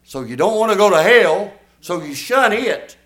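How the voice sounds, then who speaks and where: An older man preaches from the pulpit, his voice pitched a little high. He is folksy and emphatic, putting questions to the congregation. The sound carries through an echoey church room. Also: animated, normal speed, fairly bright tone. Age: 70s. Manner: folksy, emphatic preaching with audience call-and-response. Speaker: pastor. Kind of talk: sermon.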